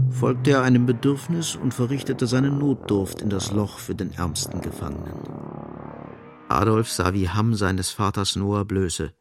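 A man narrating a literary radio play in a measured, precise storytelling manner, close to a studio microphone. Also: lowish voice. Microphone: close, dry studio microphone.

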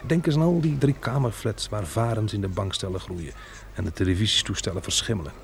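A man's voice: lowish voice, a little fast, slight background noise. Een man die in een hoorspel een vermoeide, prikkelbare overlever op een belegerde boerderij speelt, met een rauwe, hese stem. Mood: dry. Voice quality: gravelly, husky. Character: weary, irritable male survivor running a besieged farmstead. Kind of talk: radio drama acting with diary narration.

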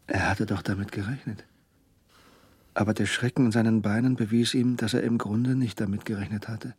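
An actor narrating a crime radio play, voicing a tense criminal's inner thoughts in a husky voice. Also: male voice, on the low side, fairly even intonation, a little fast, darkish tone, no echo. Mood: brooding.

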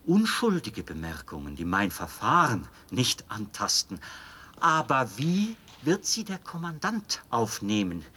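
A husky, fervent, fanatical officer. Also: male voice, medium pitch, huge pitch swings, unhurried, pushed and bright, full character voice. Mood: sardonic, conspiratorial. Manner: insistent, persuasive, theatrical emphasis. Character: fervent male officer, fanatically devoted to an execution machine. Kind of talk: German radio drama acting.

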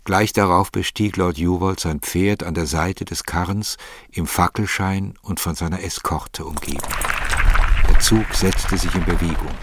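A man's voice: sits low, noisy background. A man narrating a literary story as scripted narration.

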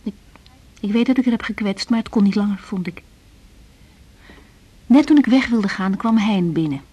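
An actress playing an earnest, weary young doctor in a radio drama, speaking in short reflective phrases. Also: female voice, medium pitch, moderate pace, dark tone, breathy edge, natural voice. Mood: wistful. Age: young adult. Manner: reflective, intimate, clipped phrases. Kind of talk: radio drama acting with diary-like monologue.

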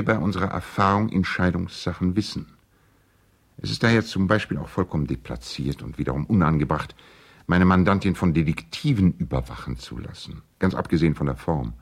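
An actor playing an earnest, conciliatory lawyer in a 1970s radio play, reading a formal letter in a deliberate, gently reproving way. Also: male voice, low voice. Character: measured, conciliatory lawyer and loyal family friend, gently reproving.

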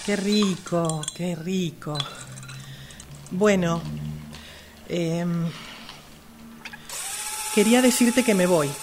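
A woman's voice: lowish voice, very slow, long pauses, a little mellow, a little hiss or hum. An Argentine woman playing a hesitant sister in love, a longtime caregiver, in an amateur radio drama. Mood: friendly, hesitant.

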